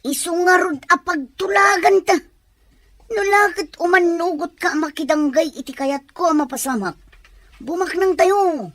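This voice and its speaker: Actress as scheming greedy woman, high voice, coaxing, insistent, dry close recording, audio drama. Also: normal speed, a bit bright, no room sound. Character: scheming, money-hungry woman plotting a fraud. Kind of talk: amateur audio drama acting.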